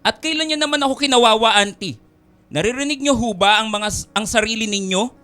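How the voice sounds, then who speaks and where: A caring young Filipino man in a radio drama. His voice sits high for a man. He speaks earnestly and respectfully, over soft music. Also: brisk. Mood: hurt, defiant. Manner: earnest, polite. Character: devoted, caring young male nurse, respectful but firm.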